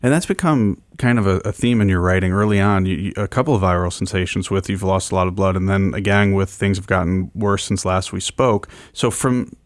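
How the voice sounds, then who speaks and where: A man hosting a casual radio interview, halting and often restarting his phrases mid-thought. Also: deep voice, no room sound, clean studio sound. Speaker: radio show host interviewing a guest.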